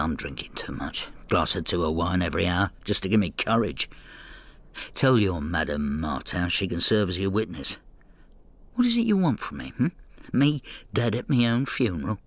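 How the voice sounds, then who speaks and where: An actor playing a bitter, hard-drinking old husband in a radio drama, brooding and sardonic with a husky voice. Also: male voice, deep voice, sing-song, moderate pace, no echo, full character voice. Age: older. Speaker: lead actor and narrator. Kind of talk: radio drama acting, confessional narration.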